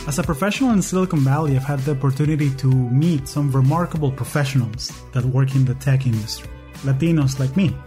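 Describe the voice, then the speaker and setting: A man from Venezuela, hosting a podcast interview in an earnest, measured way with some halting restarts. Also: mid-range voice, warm tone, faint music behind. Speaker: podcast host.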